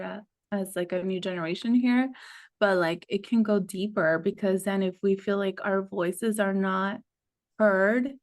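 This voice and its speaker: A young adult woman coach who grew up in the United States, chatting casually as a guest. She speaks at an everyday middle pitch, fills her talk with "like", and laughs often. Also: a little mellow. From United States, Mexican parents. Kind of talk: casual podcast conversation.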